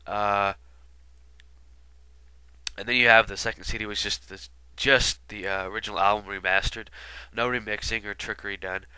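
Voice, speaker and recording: A man's voice: lowish voice, steady tone, moderate pace, bright, forceful tone, clean tone, no room sound, low-quality recording. A man with a stuffy nose from being sick, commenting casually and rambling with lots of fillers. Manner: rambling, many fillers and asides. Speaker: video commentator. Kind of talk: casual album retrospective commentary.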